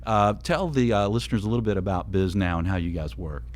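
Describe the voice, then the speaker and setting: Low-voiced upbeat male radio host. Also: fast, darkish tone. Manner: upbeat, chatty, laughs easily. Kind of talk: radio talk show interview.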